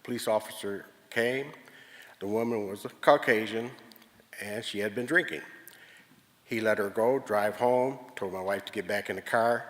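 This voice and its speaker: A man's voice: on the low side, normal speed. An older man giving public comment at a meeting, recounting his experiences in an earnest, plainspoken way. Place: large public meeting hall. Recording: reverberant hall.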